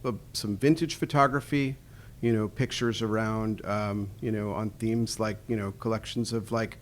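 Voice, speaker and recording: A man hosting a podcast interview, talking in a halting way with frequent restarts. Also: on the low side, steady tone, slight background noise. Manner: halting, many restarts and fillers. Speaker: podcast host. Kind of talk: conversational interview.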